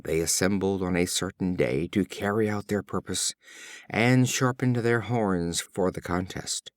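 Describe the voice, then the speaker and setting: A man reading fables as an audiobook, expressive, deliberate and theatrical in his storytelling. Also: low voice, dry recording, studio quality. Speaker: audiobook reader.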